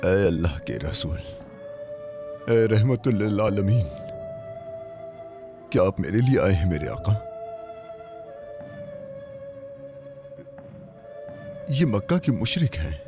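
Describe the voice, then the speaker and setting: A man's voice: high voice, big pitch swings, normal speed, music in the background. An actor dubbing a fearless devout man who preaches fervently to a hostile crowd in a historical drama. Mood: devoted. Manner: fervent, impassioned declaiming. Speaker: dubbed lead character. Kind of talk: dubbed historical drama acting.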